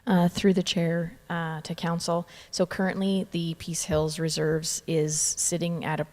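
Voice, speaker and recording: A woman's voice: fairly low pitch, little pitch movement, unhurried, darkish tone. A woman from city administration answering council formally through the chair. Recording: distant mic in reverberant chamber.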